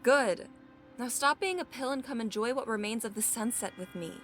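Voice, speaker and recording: A young woman played by an actress with a fairly high voice, full of idealism. She speaks tenderly and earnestly, with playful teasing, in an audio drama set over prominent music. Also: normal speed, a bit bright, lightly acted. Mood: content, tender. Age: young adult.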